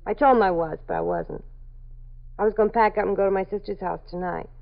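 A woman's voice: medium pitch, big pitch swings, fast talker, vocal fry. An actress playing a frightened young woman being questioned by police, defensive and pleading, in a 1950s radio drama. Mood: confessing. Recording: dull old radio broadcast recording.

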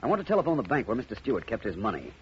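An actor playing a calm, courteous private detective with an assured manner in a 1950s radio drama. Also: male voice, mid-range voice, rapid-fire. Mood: reassuring, confident. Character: calm, confident, polite male private detective. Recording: muffled, noisy old radio recording.

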